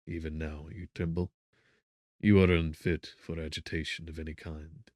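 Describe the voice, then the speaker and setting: A man voicing a grave, benevolent magistrate in an audiobook, formal, measured and earnest. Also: rumbling low, level tone, a little mellow, dry recording, studio quality. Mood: grave, concerned. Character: benevolent, grave male magistrate, sometimes severe. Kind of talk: audiobook character acting.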